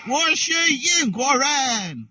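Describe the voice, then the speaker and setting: A man teaching an online English class to young learners, speaking slowly and with exaggerated, encouraging prompts over a video call. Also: very high-pitched, big pitch swings, pushed and bright, lo-fi sound.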